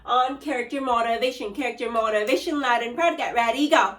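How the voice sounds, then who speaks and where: A woman teacher reading a storybook aloud to young children, with animated call-and-response prompts. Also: a little high, brisk, echoey room, slight background noise. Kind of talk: read-aloud lesson for children.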